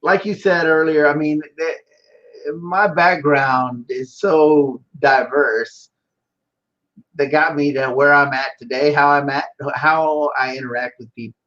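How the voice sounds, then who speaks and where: Through slightly dull, lo-fi sound, a man with a Texas accent talks casually as an interview guest. His voice sits a bit high, and Spanish is his first language. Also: steady tone, takes it slowly, fairly bright tone. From Houston, Texas. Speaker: interview guest, business owner. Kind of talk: casual chat about his background.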